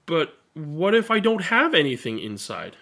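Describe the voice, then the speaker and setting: A reader voicing an earnest, self-doubting female number zero from a picture book, in an expressive, drawn-out delivery. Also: medium pitch. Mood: sad, discouraged.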